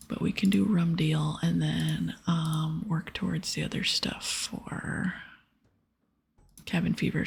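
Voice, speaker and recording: A woman softly whispering casual ASMR game commentary, thinking aloud as she plays. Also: lowish voice, gravelly, breathy edge. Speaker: ASMR gaming channel host. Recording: soft music behind.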